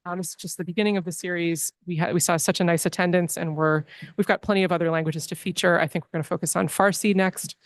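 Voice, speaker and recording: A woman's voice: on the low side, steady tone, fast talker, no echo. A woman presenting a report as library director at a board meeting, earnest, with frequent ums and restarts. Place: public meeting room.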